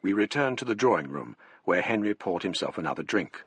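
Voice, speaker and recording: An actor playing the formal, measured English narrator of a gothic radio mystery. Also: male voice, low voice, big pitch swings, noisy background. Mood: measured, ominous. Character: male narrator recounting a gothic mystery in first person. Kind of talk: scripted radio drama narration.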